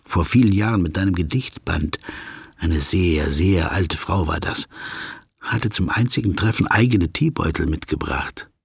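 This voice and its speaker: Disgruntled middle-aged traveller in radio drama: low-pitched, gravelly, pedantic, forever musing over words. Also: male voice, a little slow, dry recording, clean studio sound, exaggerated voice. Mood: brooding, musing. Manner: musing, pedantic, talking to himself. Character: disgruntled, brooding, word-obsessed middle-aged male business traveller. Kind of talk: introspective German radio drama monologue.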